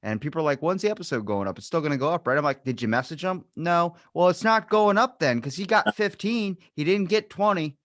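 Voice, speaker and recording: A man's voice: on the high side, expressive, a little fast, few pauses, fairly bright tone, no echo, clean studio sound. A man hosting a podcast in casual, slangy chat, acting out little quoted exchanges as he talks. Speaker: podcast host.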